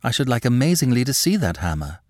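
A man voicing a calm, shrewd young woman who questions gently and sympathetically. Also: mid-range voice, fast, no room sound, clean studio sound, pitched above the performer's own voice, lightly acted. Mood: calm, probing.

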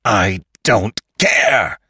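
Storybook villain, acted by a male reader: walking corpse, deep and slow, seething with murderous hate, very dry recording. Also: creaky, a bit bright, husky, no room sound, exaggerated voice. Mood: desperate rage. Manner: slow growled threats, breaking into screams. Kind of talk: dramatic storybook narration.

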